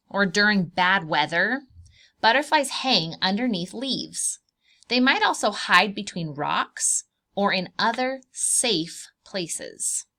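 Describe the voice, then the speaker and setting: Lady preschool teacher doing a read-aloud for little kids, really up and down voice, takes it slow, simple words and questions. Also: medium pitch, bright, forceful tone. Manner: simple child-directed talk, asking questions and drawing out key words. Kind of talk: read-aloud to young children with simple explanations.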